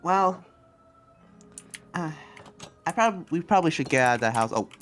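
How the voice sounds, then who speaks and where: A man playing an earnest, hesitant demon slayer and researcher in an improvised Minecraft anime roleplay, speaking haltingly and trailing off. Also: a little high, animated, fairly bright tone, soft background music. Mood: earnest, explaining.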